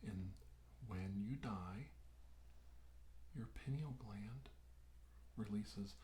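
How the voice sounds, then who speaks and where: A man playing an unhurried doctor in an ASMR roleplay, speaking softly in deliberate, halting phrases that often drop into a whisper. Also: on the low side. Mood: calm, explanatory. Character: unhurried doctor examining an abductee, explaining alien plans. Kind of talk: ASMR roleplay, soft-spoken monologue. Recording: roomy echo with background noise.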